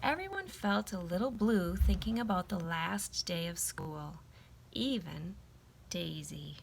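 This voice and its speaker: A woman reading a children's picture book aloud in an expressive, emphatic storytelling style. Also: fairly low pitch, slow pace, gravelly, a little hiss or hum, poor audio.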